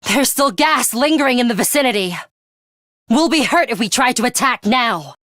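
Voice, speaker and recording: A woman voicing a stern, composed young student fighter in a video game, speaking in a measured, serious way. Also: a little high, expressive, fairly bright tone, no room sound, studio quality. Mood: serious, controlled. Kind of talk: English video game character voice lines.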